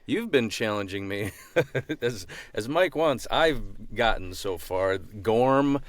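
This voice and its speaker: A man's voice: fairly low pitch, animated, slow, no room sound. A man who co-hosts a podcast and does voice acting, talking casually and informally. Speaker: podcast co-host and voice actor. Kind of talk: casual chat.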